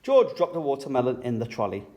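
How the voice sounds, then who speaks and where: A man reading a children's picture book aloud in an expressive storytelling style. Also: medium pitch, fast, dull audio.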